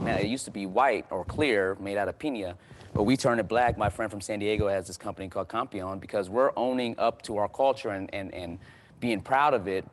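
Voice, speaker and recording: A man with a slightly low voice talks earnestly in a panel discussion. He speaks conversationally and often repeats words or restarts sentences. His voice grows more animated as he goes on. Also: slight background noise. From United States. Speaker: panel guest.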